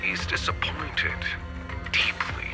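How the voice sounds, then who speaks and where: An actor playing a condescending villainous handler in a video game, speaking in a measured, sardonic, formal way, often breathy. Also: male voice, close to an everyday voice. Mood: coolly dismissive. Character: coldly polite, condescending male villainous handler. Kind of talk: dramatic video game voice acting. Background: music.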